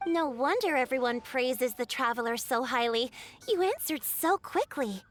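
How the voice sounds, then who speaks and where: An actress playing a gentle young woman who recites poetic lines deliberately. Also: sits high, expressive, slight background noise. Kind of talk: game cutscene voice acting.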